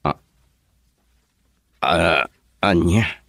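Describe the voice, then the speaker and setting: An actor with an Ilocano accent playing a playful young man working abroad, loud and joking in a radio drama. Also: low voice, very slow, creaky, no room sound, clean studio sound, full character voice. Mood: cheerful.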